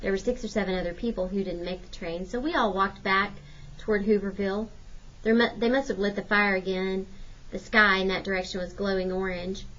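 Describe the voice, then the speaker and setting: A woman with a mid-pitched voice reads a storybook narrative aloud in the role of a teacher. The audio is slightly dull and lo-fi. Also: fairly even intonation, brisk, fairly bright tone, slight background noise. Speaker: teacher reading a novel aloud.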